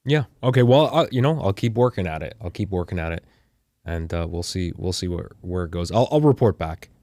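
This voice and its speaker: A man's voice: deep voice, lively intonation, fairly quick, dark tone, dry recording, clean studio sound. A man with a Canadian accent talking casually as a podcast producer and co-host. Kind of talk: casual chat.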